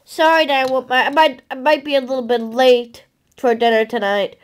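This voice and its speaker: A woman's voice: high-pitched, fairly even intonation, moderate pace, slight background noise, close to an everyday voice. A woman voicing an awkward, easily offended young boyfriend in a comedy skit, hesitant and whiny. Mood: sheepish.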